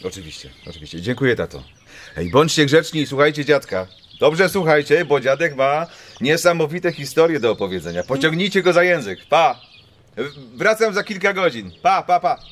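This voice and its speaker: Lively, hurried young dad. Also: male voice, fairly high pitch, a little hiss or hum. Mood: hurried, polite. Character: busy, friendly father, grown son, in a hurry. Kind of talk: children's audio drama acting.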